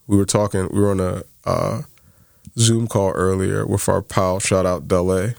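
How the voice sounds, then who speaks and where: A man's voice: sits low, flat delivery, normal speed, vocal fry, a little mellow, no room sound, studio quality. A man in his thirties co-hosting a faith-based podcast, telling stories in a casual way with many fillers. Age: 30s. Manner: storytelling, quoting others, many fillers like "like". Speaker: podcast co-host.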